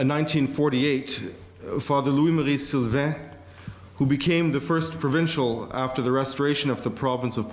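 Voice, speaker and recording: A man delivering a prepared academic conference lecture, measured, with occasional fillers. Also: medium pitch. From Canada. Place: museum auditorium. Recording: echoey museum lecture hall.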